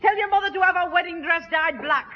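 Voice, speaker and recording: A woman playing a brisk, wry, sharp-tongued woman in a 1960s radio comedy. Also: very high voice. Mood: indignant. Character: brisk, wry Northern woman, quick to indignation. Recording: muffled, noisy old radio broadcast.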